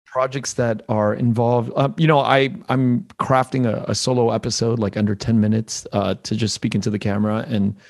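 A man hosting a casual interview podcast, speaking earnestly and haltingly as he searches for his words. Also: mid-range voice, level tone, creaky. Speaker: podcast host.

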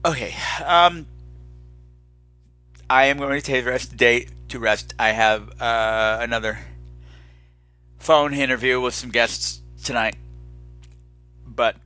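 A man's voice: mid-range voice, slow, long pauses, sharp tone, no room sound, soft background music. A man hosting a podcast solo in a casual, chatty, campy and playfully dramatic way, rambling and self-deprecating. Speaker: podcast host.

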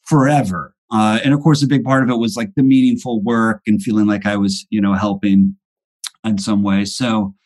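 A man's voice: lowish voice, fairly even intonation, moderate pace, a little mellow. A man in his thirties chatting as a podcast guest, rambling and hesitant with many fillers. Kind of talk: casual chat.

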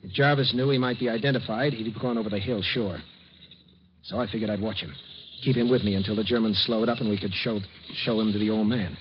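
An actor playing a shaken young war veteran and student, speaking in earnest, clipped sentences in a 1950s radio drama. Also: male voice, on the low side, fairly even intonation, fast, lightly acted. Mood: tense, recounting. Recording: muffled, noisy old radio recording.

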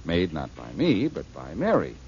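A cocky detective lays out how a murder was faked, in a confident tone. His voice is low and lively. The 1940s radio recording is dull and a little hissy. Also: moderate pace, full character voice. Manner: confident, explaining.

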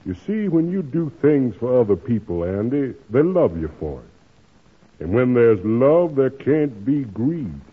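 An actor playing a kindly, earnest preacher who gives moral counsel in a measured, sermon-like way, in 1940s radio drama style. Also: male voice, medium pitch, big pitch swings, husky. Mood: earnest, preaching. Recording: tinny old radio broadcast.

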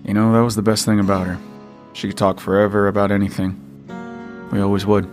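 A man playing a worn-down father in a post-apocalyptic radio drama, speaking quietly and gently to his daughter. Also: fairly low pitch, level tone, quick pace, over music, close to an everyday voice. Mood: wistful. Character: weary father, survivor in a wasteland.

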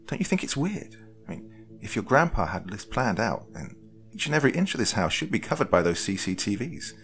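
A man voicing an earnest, sharp-minded young woman who questions insistently in a breathy way in a dramatic story. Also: on the low side, very animated, a little fast, a little hiss or hum, voice pushed up. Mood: urgent, suspicious.

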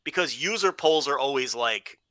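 A man's voice: mid-range voice, lively intonation, moderate pace, bright, forceful tone, no echo, clean studio sound. A man co-hosting a casual comedy chat about films, joking and swearing as he rambles along. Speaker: podcast co-host.

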